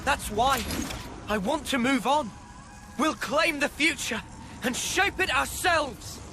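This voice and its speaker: High-voiced, earnest young hero. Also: male voice, takes it slowly, very noisy, heavily acted. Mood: defiant, determined. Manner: fervent, determined. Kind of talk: dramatic video game cutscene acting. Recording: loud music over dialogue.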